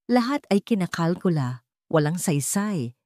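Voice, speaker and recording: A woman's voice: on the low side, sing-song, measured pace, darkish tone, no room sound, clean studio sound. A woman with a Filipino accent reading a scripted dramatic story as an audio narrator.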